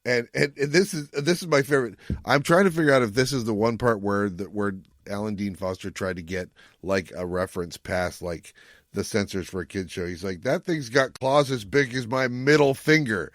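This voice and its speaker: Man, low voice, podcast co-host, casual recap, jokey animated retelling, dry sound. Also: normal speed, dry recording.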